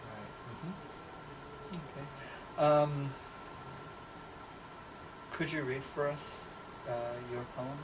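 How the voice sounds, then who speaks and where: A man's voice: a little high, drawn-out words, long pauses, recorded from a distance. A man interviewing informally, with hesitant questions and brief acknowledgements. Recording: off-mic, clearly noisy background.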